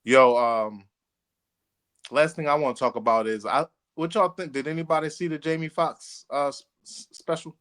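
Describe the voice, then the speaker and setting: A man's voice: fairly high pitch, steady tone, moderate pace, fairly bright tone. A man hosting a podcast in casual, slangy, joking group banter. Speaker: podcast host.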